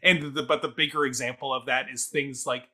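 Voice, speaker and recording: A man in a casual review discussion, with a medium-pitched voice and a bright, forceful edge. He works through his points analytically, tentatively qualifying them as he goes. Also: fairly even intonation, brisk. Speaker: theatre review podcast co-host.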